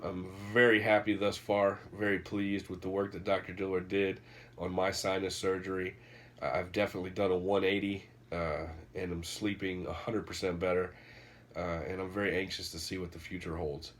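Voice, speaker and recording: A man, congested and nasal from a cold, casually rambling through a book recommendation in a vlog. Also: sits low. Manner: rambling, many fillers and restarts. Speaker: vlogger reviewing a book.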